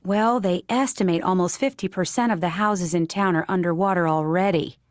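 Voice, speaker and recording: Woman reading textbook dialogue lines, plain and even, dry close sound. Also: lowish voice, fairly quick, no echo. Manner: plain, even read-aloud delivery. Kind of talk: scripted textbook dialogue recording.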